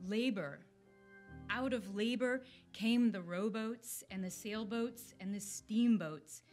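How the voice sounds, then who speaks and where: A young woman recites verse at a mid pitch. Her delivery is slow and solemn, with weight on each word, and faint music plays behind her. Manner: measured, emphatic listing. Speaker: community poetry reader. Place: indoor event room, at a podium. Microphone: podium microphone on a stand.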